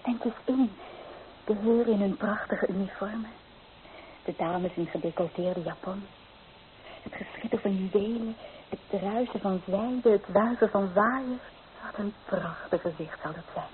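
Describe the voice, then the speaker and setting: An actress playing an eager, dreamy young provincial bride-to-be in a Dutch radio drama. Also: female voice, medium pitch, unhurried, natural voice. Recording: tinny, hissy old radio play recording.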